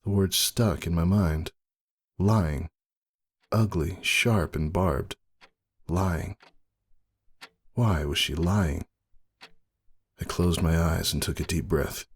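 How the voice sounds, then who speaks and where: A man narrating a first-person story dramatically, in a brooding, measured and theatrical delivery. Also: deep voice, husky. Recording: music behind the voice. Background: music.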